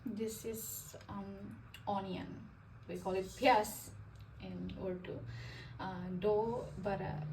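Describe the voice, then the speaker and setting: A woman's voice: medium pitch, fairly even intonation, takes it slowly, gravelly, darkish tone. A young woman from Indonesia explaining a home cooking recipe step by step in a casual, friendly way. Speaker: cooking vlogger. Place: home kitchen, stove frying. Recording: distant mic, audible sizzling kitchen noise.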